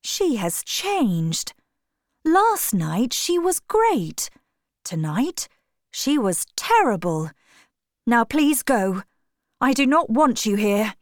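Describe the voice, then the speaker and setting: A woman's voice: on the high side, big pitch swings, takes it slowly, no room sound, clean studio sound, pitched above the performer's own voice. A woman voicing a proud young man who speaks coldly and cruelly. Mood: agitated. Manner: cold, curt.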